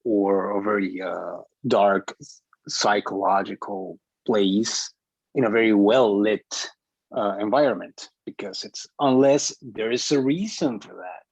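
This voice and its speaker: A man explaining his view in a group discussion, halting and deliberate but emphatic, on a muffled call recording. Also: on the low side, creaky, breathy edge, no echo.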